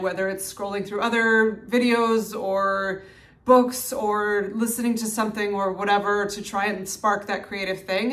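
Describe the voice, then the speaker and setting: Loud, animated woman vlogging. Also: mid-range voice. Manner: loud, animated, strong projection. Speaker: minimalist YouTuber. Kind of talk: casual talk to camera. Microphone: phone mic.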